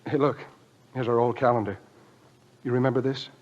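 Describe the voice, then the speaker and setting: An actor playing an earnest, easily exasperated young husband on a farm in old film comedy style, stammering when emotional. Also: male voice, mid-range voice, level tone, brisk, a little mellow, lightly acted. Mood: tender, sheepish. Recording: dull old film soundtrack.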